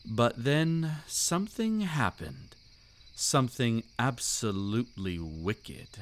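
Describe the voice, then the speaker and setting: A man's voice: mid-range voice, big pitch swings, slow pace, a little hiss or hum. A man playing a seductive, commanding vampire count in an intimate audio roleplay, suave, teasing and theatrically formal. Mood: smug, seductive. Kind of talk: intimate roleplay audio drama, scripted monologue.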